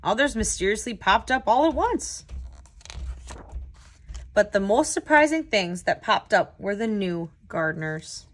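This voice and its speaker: A woman hosting a children's read-aloud as a tutor, telling the story with clear, expressive phrasing. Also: mid-range voice, a little slow.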